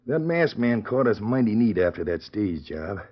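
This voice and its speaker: An actor playing a tough, vengeful outlaw gang leader in a 1940s radio western, with rough, drawling frontier talk. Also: male voice, on the low side, very animated, moderate pace. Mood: suspicious, scheming. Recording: dull, muffled old 1940s radio recording.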